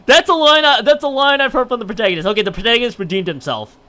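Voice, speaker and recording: A man's voice: very high voice, rapid-fire, sharp tone. A man livestreaming, chatting and reading a visual novel aloud, often stumbling over and rereading his lines. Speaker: live streamer.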